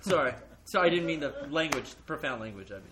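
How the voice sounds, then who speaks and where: A man co-hosting a podcast, playful and quick to laugh. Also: a little high, lively intonation, sharp tone. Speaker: podcast co-host. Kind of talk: joking banter with friends.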